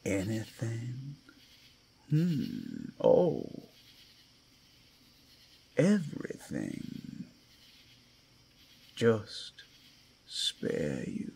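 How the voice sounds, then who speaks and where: A man playing a predatory male werewolf in an ASMR roleplay, speaking in a gravelly, breathy, menacing whisper as he savors the hunt. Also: on the low side, wildly swooping pitch, extremely slow, pauses often, warm tone, a little hiss or hum, heavily acted. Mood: gloating, toying.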